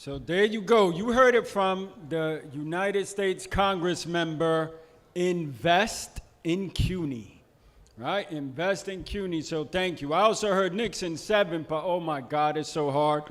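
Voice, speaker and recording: A man's voice: fairly high pitch, measured pace, a bit bright, in a large hall. A man emceeing a ceremony, chatty with joking asides as he introduces the next speaker. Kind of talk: casual stage hosting.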